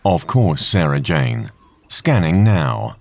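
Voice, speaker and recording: A man voicing a calm, courteous supercomputer in an audio drama, speaking in a measured, formal way. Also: a bass voice, vocal fry, full character voice.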